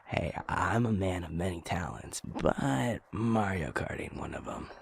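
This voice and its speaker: A young man played by a male voice actor with a very deep, heavily husky voice. He talks slowly in casual, slangy banter, like a close friend in an intimate audio drama. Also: animated, heavily acted. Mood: teasing, playful. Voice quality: low, gravelly, husky. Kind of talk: intimate naturalistic audio roleplay acting.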